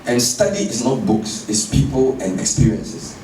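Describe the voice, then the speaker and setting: A man talks in an animated, emphatic way. His voice is warm and mellow. He is heard from a distance in a roomy space with faint background noise. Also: mid-range voice, normal speed. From Ghana. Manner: animated, emphatic, laughs while telling stories. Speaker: guest speaker. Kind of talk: conversational interview.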